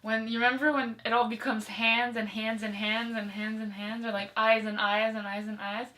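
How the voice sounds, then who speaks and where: A woman's voice: on the high side, flat delivery, normal speed, bright, forceful tone. A woman in a casual discussion, speaking informally and tentatively, often checking in with her listener. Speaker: co-host or guest discussing a movie. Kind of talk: casual conversational chat.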